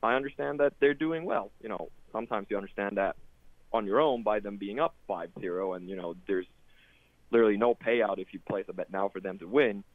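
A man's voice: on the low side, a little fast, dry recording. A man from Sweden, a guest in an interview, talking casually with many fillers and restarts. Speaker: guest, sports data product manager. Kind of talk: casual interview over a call. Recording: tinny phone-like line, dry.

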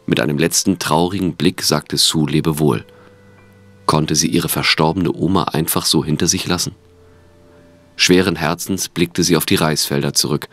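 A man's voice: rumbling low, fairly even intonation, normal speed, a bit bright, dry recording. A man reading scripted narration for an audio drama.

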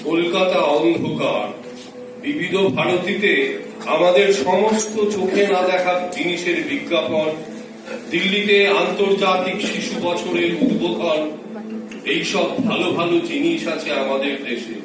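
Slow, echoing male poetry reciter. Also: sits high, fairly even intonation, noisy background. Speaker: recitation performer. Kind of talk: dramatic recitation of Bengali poetry. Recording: echoey, distant, music behind. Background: music.

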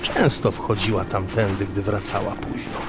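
A man narrating a scripted fantasy story in a measured, dramatic way. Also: on the low side, fairly even intonation. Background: music bed.

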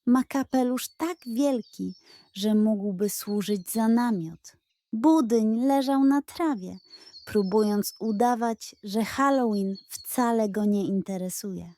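A woman narrating a children's bedtime audio story in a gentle, expressive reading. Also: on the high side, slow.

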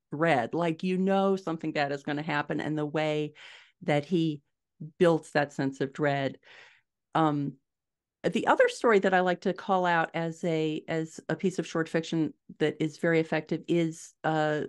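A middle-aged American woman chatting as a guest author on a podcast, thinking aloud as she explains. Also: deep voice. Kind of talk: casual podcast interview. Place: home room, indoors. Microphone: video call, desktop mic.

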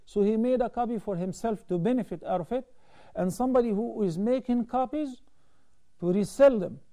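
A man in his thirties, an Islamic scholar hosting a call-in TV show, explaining rulings in a measured way from a studio desk on a lapel mic. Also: sits high, dark tone. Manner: measured, explanatory, gestures while talking. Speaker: Islamic scholar answering viewer questions. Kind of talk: call-in religious Q&A.

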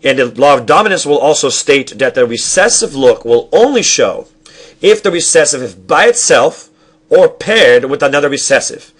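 Young man teaching, halting and self-correcting. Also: on the high side, animated, fairly bright tone, slightly rough. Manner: halting, self-correcting explanations with frequent restarts; asks the viewer questions and checks in with "all right?". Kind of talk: recorded classroom lecture over slides. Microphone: webcam at desk.

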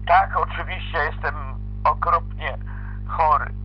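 A man's voice: fairly high pitch, steady tone, takes it slowly. A middle-aged man improvising an amateur audio play as a hotel guest, speaking politely and formally. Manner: polite, courteous phrasing. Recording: muffled lo-fi playback with music behind.

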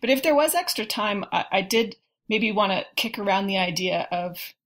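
A woman's voice: medium pitch, expressive, normal speed, a bit bright. Woman chatting thoughtfully on a panel. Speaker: podcast guest. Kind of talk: casual panel discussion about films.

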